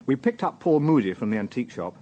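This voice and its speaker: An actor playing a male police inspector in a radio drama, measured, questioning and dryly humorous, both in dialogue and in narration. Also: mid-range voice, very animated, dark tone, highs cut off, a little hiss or hum, lightly acted. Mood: measured, reflective. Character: male police inspector, probing, wry, unhurried. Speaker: detective and narrator.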